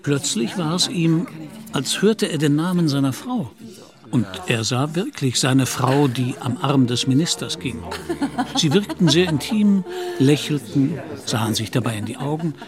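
A man narrating a period novel in a radio play, as a measured literary storyteller. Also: a little high, lively intonation, crowd noise. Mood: measured, suspenseful.

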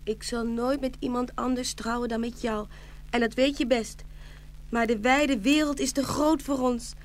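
A very high, girlish voice for a sweet porcelain shepherdess acted by a woman. She is devoted, easily frightened and pleading, in the manner of a storybook radio play. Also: fairly even intonation, normal speed, close to an everyday voice. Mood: tender, pleading. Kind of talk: audio fairy-tale drama acting.

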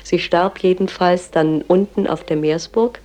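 A woman explaining a writer's life in a measured, knowledgeable way, offering her own opinions as she goes. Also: on the low side, steady tone, dark tone. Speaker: commentator and guide on a poet's life. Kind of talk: informal literary-historical explanation.

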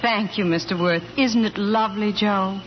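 An actress playing a sweet, teasing, romantic young wife in a 1940s radio comedy. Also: female voice, mid-range voice, normal speed, natural voice. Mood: dreamy. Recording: muffled, noisy 1940s radio broadcast.

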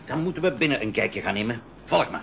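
An actor playing a cautious, protective professor who takes charge in a 1980s school radio adventure. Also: male voice, mid-range voice, animated, fast, gravelly, noisy background. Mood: urgent, commanding. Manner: earnest, protective, authoritative. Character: cautious, commanding adult male professor leading youngsters. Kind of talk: 1980s Dutch-language school radio play acting. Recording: dull lo-fi 1980s radio recording.

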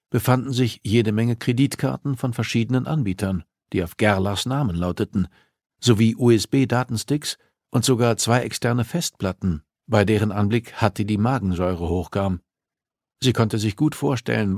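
A man narrates scripted fiction as an audiobook reader. His voice is on the low side, and the delivery is dramatic, captured close and very dry. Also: darkish tone, no room sound.

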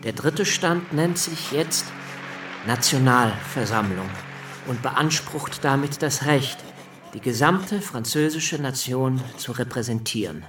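A man's voice: medium pitch, slow pace, over music, close to an everyday voice. Man as an earnest deputy, slightly distant. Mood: recounting, earnest. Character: earnest male deputy recounting the revolutionary assembly. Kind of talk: scripted radio drama monologue.

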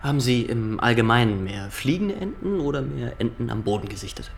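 A man's voice: mid-range voice, animated, a little fast, gravelly, slight background noise. An actor in a German radio drama playing a stiff, officious young male inspector who questions people formally and insistently. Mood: probing, pedantic. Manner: formal, pedantic, insistent interrogating.

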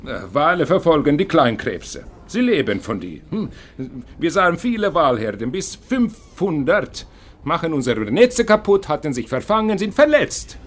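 An actor playing an evasive, stubborn ship's first officer with a Scandinavian accent and clipped, broken grammar in a radio drama. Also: male voice, on the high side, sing-song, moderate pace. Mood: evasive, defensive.

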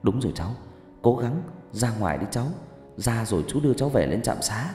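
A man's voice: medium pitch, expressive, fairly quick, mellow, natural voice. A man playing a caring, anxious younger uncle figure in an audio story, speaking in an earnest, urging way. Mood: concerned. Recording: echoey long reverb, music behind.

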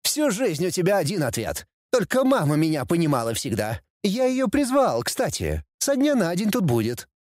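A man's voice: high voice, wildly swooping pitch, moderate pace, few pauses, a little mellow, no room sound, clean studio sound, pitched above the performer's own voice. A man voicing a bratty young demon prince in a storybook reading, with an insolent, whining, taunting manner. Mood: demanding. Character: bratty, cheeky young demon prince, mocking his father.

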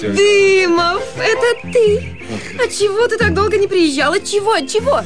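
A woman's voice: very high-pitched, unhurried, loud music behind the voice. An actress playing a flighty young wife in a radio drama, effusive and cajoling, drawing out her words theatrically. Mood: delighted. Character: gushing, flighty, coaxing young wife at a summer cottage.

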